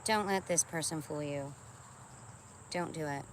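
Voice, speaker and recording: Low-voiced woman streamer with noisy background. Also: unhurried. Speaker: live streamer. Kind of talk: informal live-stream talk to viewers.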